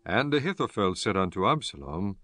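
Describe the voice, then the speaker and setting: A man giving solemn, measured narration for a scripted scripture audiobook. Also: low-pitched, sing-song, a bit bright.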